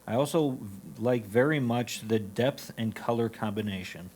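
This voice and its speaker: A man's voice: lowish voice, normal speed, a little mellow, husky. A man reading photo critiques aloud at a club competition. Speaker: reader of judges' critiques.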